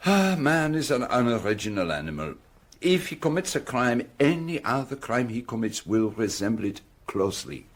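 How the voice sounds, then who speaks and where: An actor playing a shrewd, courteous detective in a radio drama, speaking in a measured, precise, formal way and quietly needling. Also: male voice, mid-range voice, sing-song. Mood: musing, pointed.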